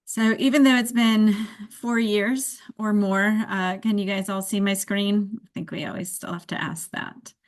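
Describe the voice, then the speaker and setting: Woman hosting an online info session, mid pitch, explains stuff in a measured way. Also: steady tone. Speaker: program director hosting an online open house. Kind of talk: informational presentation to prospective students. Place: video call.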